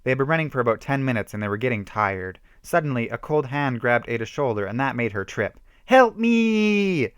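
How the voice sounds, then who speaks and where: A man's voice: mid-range voice, quick pace, few pauses, fairly bright tone, clear, resonant tone, dry recording, clean studio sound. A man giving an amateur dramatic reading of a horror story, with theatrical emphasis.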